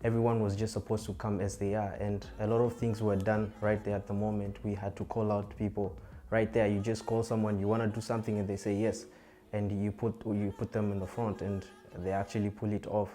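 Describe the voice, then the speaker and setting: A young man, a festival organizer, speaking casually and in a relaxed way in an interview. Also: fairly low pitch, a little fast.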